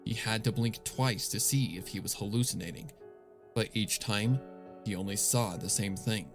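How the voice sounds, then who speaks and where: A man narrating a dark story in a somber, dramatic reading style, with music playing underneath. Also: fairly low pitch, moderate pace. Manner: somber, grave. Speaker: narrator, fan fiction reader.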